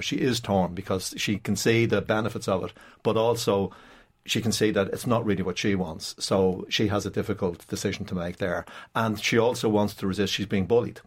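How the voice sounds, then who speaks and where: A man's voice: fairly low pitch, husky. An Irish man speaking as a writer in a radio interview, explanatory and repeating points for emphasis. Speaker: playwright, interview guest.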